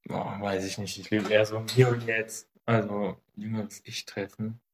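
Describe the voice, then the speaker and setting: Young man, 19, low monotone, short offhand answers. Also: normal speed, a little hiss or hum. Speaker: interviewee. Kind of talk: casual interview answers.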